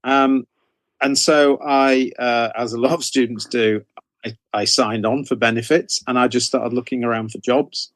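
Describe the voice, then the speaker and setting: A middle-aged man with a British accent talks casually in an interview. His pitch is average for a man and he wanders conversationally through his points with a modest air. The recording is dry, with hardly any room sound. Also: steady tone, normal speed, no room sound. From United Kingdom. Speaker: interview guest, novelist.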